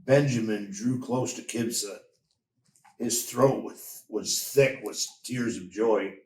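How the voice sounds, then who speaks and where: A man reading a story aloud in a measured, expressive storytelling manner. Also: lowish voice. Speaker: story reader. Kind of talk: scripted storybook narration. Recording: roomy echo, distant from mic.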